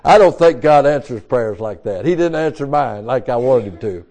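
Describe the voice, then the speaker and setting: A man's voice: medium pitch, lively intonation, normal speed, dark tone. A man in his sixties, a pastor, preaching a sermon in a folksy, repetitive way, restarting his words. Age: 60s.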